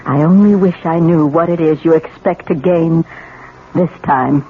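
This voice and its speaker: A woman's voice: low-pitched, unhurried, phone-quality sound, music in the background. An actress playing a bitter, wounded, defiant wife and mother in a melodramatic 1970s radio play, emotional and reproachful. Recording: noisy, band-limited old radio recording.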